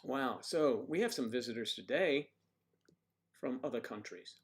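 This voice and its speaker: A man hosts a casual livestream from home, reading out viewers' comments. He rambles and cracks jokes as he greets people in the chat. His voice sits at an ordinary middle pitch. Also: lively intonation, measured pace. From United States. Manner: chatty, jokey, rambling, talks to viewers by name.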